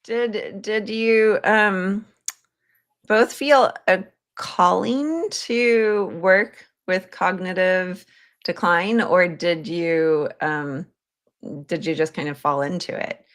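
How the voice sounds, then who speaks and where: A woman's voice: on the low side, takes it slowly, clear, resonant tone. A woman hosting a livestream interview in an enthusiastic, chatty manner. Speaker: podcast host.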